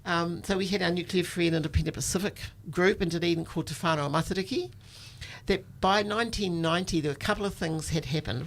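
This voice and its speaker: A woman's voice: fairly low pitch, creaky. An older woman with a New Zealand accent, telling personal stories in an informal, reflective, conversational way. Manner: informal, reflective, often says "you know". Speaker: storytelling guest, activist.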